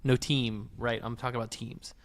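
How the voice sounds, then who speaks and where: A man co-hosting a podcast, debating casually in a questioning, halting way and rephrasing as he argues. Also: mid-range voice, little pitch movement. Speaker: podcast co-host. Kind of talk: casual sports business debate.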